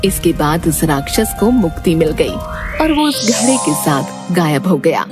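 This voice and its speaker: A woman narrating a scripted story in an expressive storytelling manner. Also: sits low, measured pace, over music.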